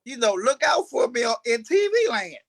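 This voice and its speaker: A man chatting casually as an actor being interviewed, halting and often restarting his phrases. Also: very high-pitched, sing-song, a bit bright. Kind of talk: casual chat.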